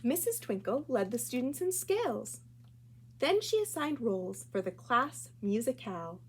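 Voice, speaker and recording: Female storytime narrator: higher-pitched, animated, clearly phrased for children, slight background noise. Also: moderate pace. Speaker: library storytime reader. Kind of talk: expressive children's storybook read-aloud.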